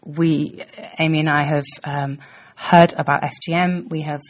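A woman's voice: deep voice, little pitch movement, a little slow. A woman hosting a podcast, asking polite, hesitant questions with many ums. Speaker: podcast host. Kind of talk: podcast interview.

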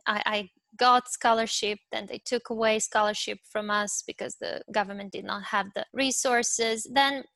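A middle-aged woman with a Serbian accent answers as an interview guest. Her voice has a lot of fry and moves very little in pitch. She pauses to search for words, and the sound is close and dry. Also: steady tone, fairly bright tone, no echo. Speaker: interview guest, university professor.

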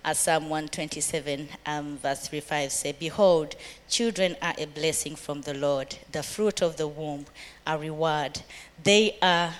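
A woman from Uganda, middle-aged, addresses a church gathering about raising a family. Her voice sits low, and she speaks earnestly, laughs often and quotes scripture. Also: measured pace.

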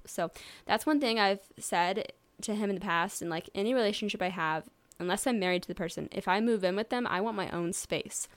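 A woman in her mid-twenties talks on her own podcast in a loose, rambling way. She speaks at an everyday middle pitch and fills her talk with likes and you knows. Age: 20s. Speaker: podcast host. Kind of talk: casual solo chat.

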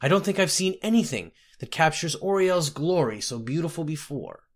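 Man playing an effusive, high-pitched archpriest. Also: lively intonation, normal speed. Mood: gushing, awed. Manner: hyperbolic, florid, emotional. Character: effusive archpriest, gushing with flowery praise.

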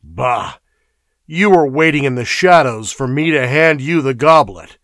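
A man's voice: mid-range voice, fairly bright tone, no echo, clean studio sound, voice pushed up. A man doing a snarling barbarian thief. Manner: snarling and scornful. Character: fierce, contemptuous male barbarian thief.

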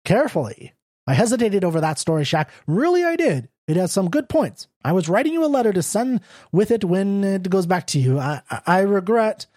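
A reader voicing a tactful middle-aged male magazine editor who critiques a writer in a measured, diplomatic way. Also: mid-range voice, very animated, dry recording, studio quality, voice pushed up. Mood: gently critical. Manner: measured, diplomatic, softly lecturing. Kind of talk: audiobook character reading.

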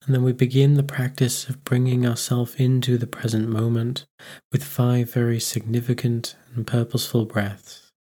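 A man guiding a meditation, giving gentle instructions with unhurried pauses. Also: medium pitch, flat delivery. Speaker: meditation guide. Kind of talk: guided meditation narration.

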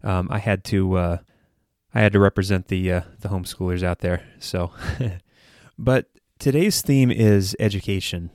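A man's voice: low voice, normal speed, darkish tone, no echo, studio quality. An American man hosting a podcast, giving earnest, conversational solo commentary with hesitant phrasing. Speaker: podcast host.

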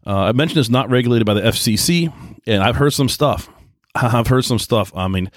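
A middle-aged man with an American accent talking casually and informally in a rambling monologue, often halting and rephrasing himself. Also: mid-range voice, animated, no echo, studio quality. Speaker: podcast host, radio hobbyist.

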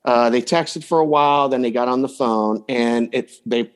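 A man's voice: medium pitch, moderate pace, clean tone. A man speaking as a coach and podcast guest, giving advice in a casual, anecdotal way. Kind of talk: casual advice chat, telling client anecdotes.

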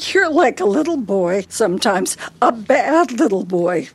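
An actress with an American accent playing a bossy, forgetful, scolding older wife in a radio play. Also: female voice, a little high, very animated, unhurried, breathy edge, heavily acted. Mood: weary, bickering. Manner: scolding, fussy.

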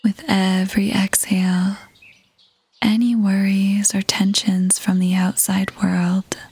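Female meditation guide: soft breathy near-whisper, very slow, even pitch, gentle and soothing. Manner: soothing, gentle, measured with long pauses. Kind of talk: guided meditation, scripted narration. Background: music.

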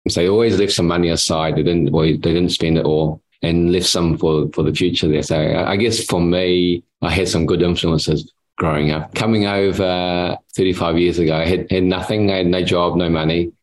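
A man in his sixties from New Zealand with a New Zealand accent, a dentist speaking as a guest in a casual interview. He talks haltingly, repeating words and often ending his phrases with "there". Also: deep voice, low-quality recording. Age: 60s.

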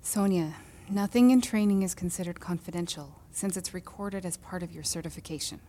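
A woman playing a sharp, protective counsel at an inquiry in an audio drama, firm and formal. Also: on the low side, a little fast, natural voice. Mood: hushed, advising. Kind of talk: fiction podcast audio drama. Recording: echoey hall with long reverb.

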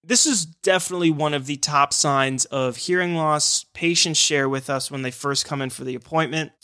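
A man's voice: a little high, moderate pace, pushed and bright, clean tone, dry recording, clean studio sound. A man who works in hearing care, explaining signs of hearing loss in an earnest, encouraging podcast talk.

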